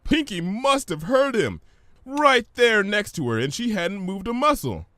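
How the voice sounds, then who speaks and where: A man narrating a scripted story in an expressive, theatrical manner. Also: high voice, unhurried, no room sound, studio quality.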